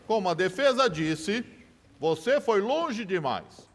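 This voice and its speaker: A probing courtroom lawyer. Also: male voice, sits high, animated, long reverb tail, full character voice. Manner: probing, measured, theatrical questioning. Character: sharp, persistent male courtroom lawyer. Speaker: lawyer cross-examining a witness. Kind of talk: dubbed film courtroom drama.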